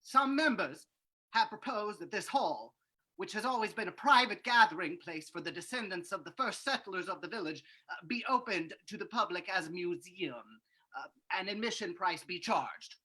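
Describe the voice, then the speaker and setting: A woman playing an officious, flustered town meeting leader with a husky voice in a radio drama reading. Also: medium pitch, animated, normal speed, bright, forceful tone, poor audio.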